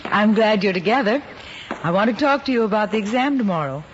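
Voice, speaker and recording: A muffled old radio recording carries a woman playing a schoolteacher with very lively, swooping intonation. She is kindly, wry and reassuring, acted in 1950s radio drama fashion. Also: medium pitch, fairly quick, a little hiss or hum, natural voice. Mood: breezy, encouraging. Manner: dry humor, reassuring. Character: wry, kindly, encouraging female high school teacher.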